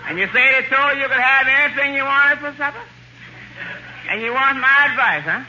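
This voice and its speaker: A man's voice: very high voice, sharp tone, heavily acted. An actor playing a wisecracking, shady lawyer in a 1940s radio comedy, breezy and callous as he sets up punchlines. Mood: breezy, mock-concerned. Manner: breezy, drawn-out setups and punchlines. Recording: muffled old radio broadcast with faint noise.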